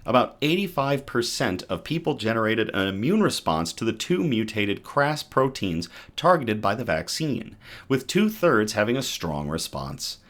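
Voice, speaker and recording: A man hosting a news show reads articles aloud, his pitch rising and falling a great deal. He stumbles and restarts over hard technical terms, then chats loosely with viewers between reports. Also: sing-song, normal speed. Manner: reacts to live chat between readings. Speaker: host of a good-news show. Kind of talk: reading news articles aloud with casual asides.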